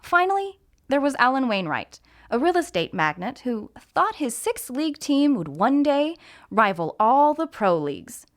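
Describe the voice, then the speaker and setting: Lively high-voiced woman reading a story. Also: normal speed. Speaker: actor reading a mystery short story. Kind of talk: scripted audiobook narration with character voices.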